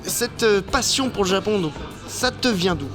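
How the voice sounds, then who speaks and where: A man's voice: sits high, animated, moderate pace. A man interviewing a guest in a bar, playful and joking, stumbling over his words now and then. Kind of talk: casual interview chat. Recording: clear music behind the voice. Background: music.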